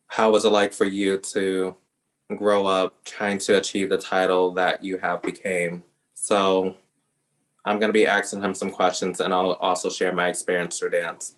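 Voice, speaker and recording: Flat-toned deep-voiced man hosting. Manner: self-described introvert, even-toned. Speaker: podcast host. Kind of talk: casual interview chat.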